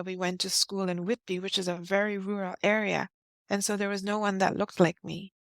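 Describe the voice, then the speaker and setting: An earnest woman in her fifties who grew up in Guyana shares her story as an interview guest. She talks in short, heartfelt phrases at an ordinary middle pitch. The recording is close and dry. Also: dry recording. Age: 50s.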